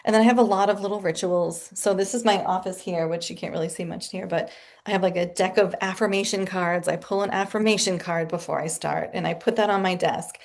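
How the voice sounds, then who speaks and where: A woman speaking as a guest author in a casual interview from her home office, chatty and animated and laughing often. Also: lowish voice, fairly quick.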